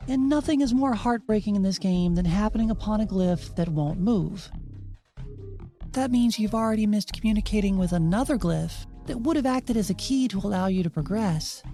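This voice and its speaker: Woman, mellow dark tone, audiobook-style storytelling, wry and sarcastic, music underneath. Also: mid-range voice. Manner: wry, dryly sarcastic asides. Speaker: host and narrator of a video game retrospective. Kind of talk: audiobook-style scripted narration.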